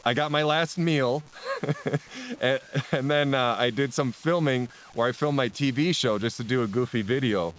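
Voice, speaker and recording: A man's voice: mid-range voice, lively intonation, moderate pace, fairly bright tone, rough recording. A man, a spiritual teacher talking as a podcast guest in a rambling way, with many restarts and frequent laughs. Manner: rambling, repeats words, laughs often. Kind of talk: casual podcast conversation.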